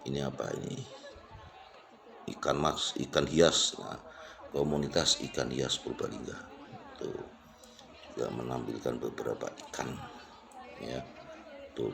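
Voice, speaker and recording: Very deep, gravelly man. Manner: unscripted, repetitive, pointing things out. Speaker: vlogger giving an exhibition tour. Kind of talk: casual walk-through commentary. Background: crowd at an exhibition.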